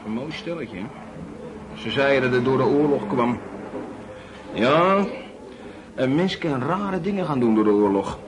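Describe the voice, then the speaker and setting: An actor playing a talkative middle-aged working-class driver in a colloquial radio drama, speaking in a chatty, familiar way. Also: male voice, mid-range voice, lively intonation, a little slow, long pauses, crowd noise, close to an everyday voice, rough recording. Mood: chatty, musing.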